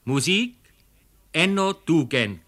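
A man's voice: a little high, sing-song, slow, fairly bright tone. A man reading scripted credits formally as a radio announcer.

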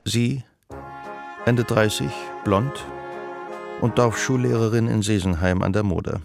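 A man narrating a literary radio drama in a scripted, measured storytelling style, with a gravelly voice. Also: lowish voice, fairly even intonation, music in the background.

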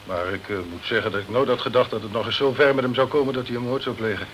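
An actor playing a sceptical, self-protective British consul in a crime radio play, with a condescending, chummy, blustering way of talking. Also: male voice, mid-range voice, fairly even intonation, fast, a bit bright, a little hiss or hum, lightly acted, low-quality recording. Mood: doubtful.

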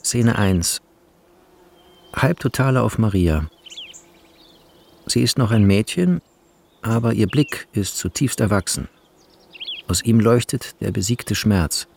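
A man reading screenplay directions in a measured, deliberate way as radio drama narration, with a husky, gravelly voice. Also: on the low side, animated, darkish tone, slight background noise, full character voice. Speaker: reader of film credits and screenplay directions.

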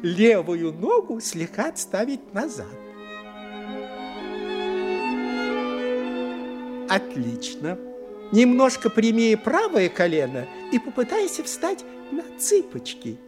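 An actor playing a pompous, lecherous high priest of ancient Greece in a theatrical audio drama, unctuous and self-satisfied. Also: male voice, wildly swooping pitch, measured pace, over music, exaggerated voice. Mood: admiring, instructing.

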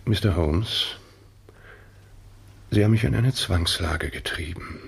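An actor playing a stern, imperious old colonel with a gruff, husky, commanding voice in a 1960s German radio play. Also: male voice, deep voice, slow pace, exaggerated voice. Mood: grudging, shaken. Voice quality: husky, gravelly.

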